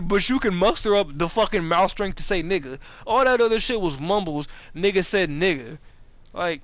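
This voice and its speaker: A man's voice: high-pitched, fairly bright tone, no echo. A man of about twenty talking casually and profanely over gameplay, rambling with many restarts and slang. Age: 20s. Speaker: gaming video creator.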